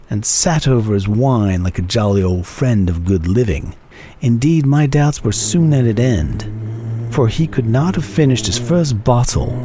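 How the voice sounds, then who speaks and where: A man giving a dramatic audiobook reading of old-fashioned prose, with measured, expressive phrasing. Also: fairly low pitch, breathy edge. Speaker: reader of a classic short story.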